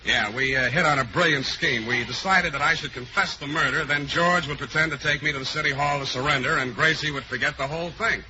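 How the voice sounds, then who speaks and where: An actor playing an exasperated hard-boiled private eye in a 1940s radio comedy. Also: male voice, a little high, fairly quick, hardly any pauses, close to an everyday voice. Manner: gruff, exasperated. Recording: dull old radio recording with loud hiss.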